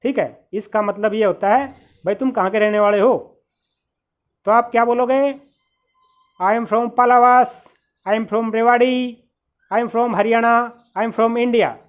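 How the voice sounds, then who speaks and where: A man's voice: extremely high pitch, steady tone, slow pace, pauses often. A man from Haryana, teaching beginner spoken English. He patiently repeats each phrase and calls his listeners "brother".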